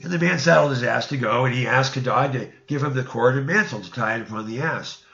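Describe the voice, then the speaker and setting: A man's voice: medium pitch, fast, vocal fry, a bit bright, reverberant, dull audio. A man narrating an old scripture story in a solemn, archaic storytelling manner, as an amateur audiobook reading.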